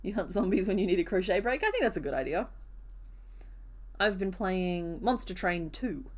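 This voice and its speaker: A woman with a rough, hoarse voice chatting casually with viewers during a live crochet stream, answering comments as she works. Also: fairly low pitch, very animated, normal speed.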